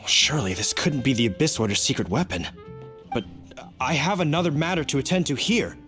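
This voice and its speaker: A man's voice: medium pitch, lively intonation, a little fast, pushed and bright, faint music behind. An actor playing a dutiful male knight in a video game, polite and formal but hesitant and easily embarrassed. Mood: flustered.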